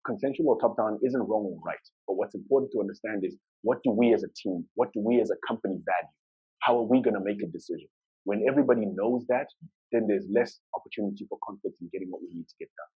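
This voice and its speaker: Man from Zimbabwe, Shona native speaker, rapid speech, lowish voice, muffled recording. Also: slightly rough. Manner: conversational, with filler words and restarts. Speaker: conference speaker. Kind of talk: conference talk.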